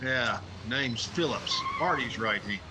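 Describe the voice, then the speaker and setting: A man's voice: mid-range voice, expressive, slow, noisy background. An actor with an American accent playing a prickly, cynical newspaperman in a radio drama, dry and sardonic. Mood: tense, wary. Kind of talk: 1950s radio drama acting.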